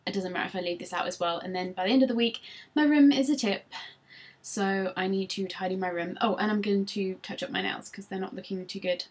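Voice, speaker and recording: A woman's voice: medium pitch, fairly quick, breathy edge. A woman, chatting casually through tag questions on her vlog with frequent ums, recorded on a laptop. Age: 20s. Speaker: vlogger.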